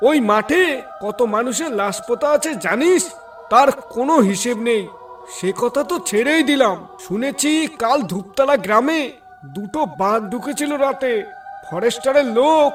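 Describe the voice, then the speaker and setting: A man's voice: very high-pitched, lively intonation, moderate pace, recorded from a distance. A man playing a rustic village husband in a horror cartoon, recorded with music behind him. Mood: scolding, wary. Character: rustic village husband fetching his cow, later a ghost. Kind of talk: Bengali horror cartoon voice acting.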